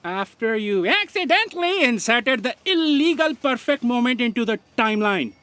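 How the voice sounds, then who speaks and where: A woman's voice: a little high, expressive, normal speed, a bit bright, no echo. A woman playing a sardonic, put-upon office aide in a comic sci-fi audio drama, sarcastic and exasperated.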